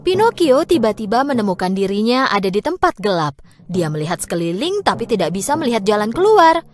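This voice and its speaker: Lively, animated woman storyteller. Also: mid-range voice, brisk, faint music behind. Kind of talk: scripted children's fairy tale narration.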